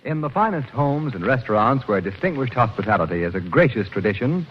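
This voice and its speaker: A man delivers a vintage radio commercial with stately polish and emphasis. His middle-pitched voice swings widely, over faint music on a dull old recording. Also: sing-song, fairly quick. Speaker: commercial announcer. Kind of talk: 1940s radio ad read.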